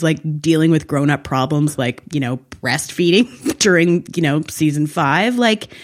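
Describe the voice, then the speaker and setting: A woman co-hosting a casual recap discussion, talking in an opinionated, freely sweary way. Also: low voice. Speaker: podcast co-host.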